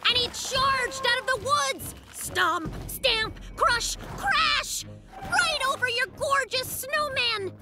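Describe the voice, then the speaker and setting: A woman voicing a boastful, fibbing little boy bear cub in a children's cartoon, with excitable, dramatic delivery. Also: extremely high pitch, slow. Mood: sheepish fibbing. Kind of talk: children's cartoon dub acting. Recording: music behind the voice.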